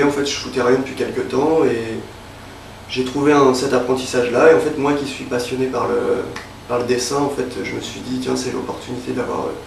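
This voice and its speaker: Creaky-voiced young man, off-mic. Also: mid-range voice, recorded from a distance, slight background noise. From Évreux, Normandy, France. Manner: hesitant, many fillers and restarts. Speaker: interviewee, freelance illustrator. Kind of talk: casual interview. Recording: roomy, off-mic with faint ambience.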